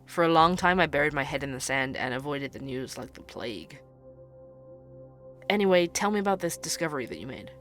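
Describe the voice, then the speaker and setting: A man's voice: on the high side, lively intonation, fairly quick, a bit bright. Actor as young male podcast sleuth, earnest, curious, wry, conversational, audio drama, music behind. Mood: frank, uneasy. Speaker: podcast host narrator. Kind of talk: audio drama narration and dialogue.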